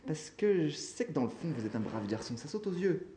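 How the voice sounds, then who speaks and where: An anxious old grandmother from a storybook, acted out by a male narrator. The voice is lifted high and swoops as it coaxes and flatters, pleading all the while, in a roomy, echoing space. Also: brisk, slight background noise. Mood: pleading, coaxing. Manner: imploring, coaxing.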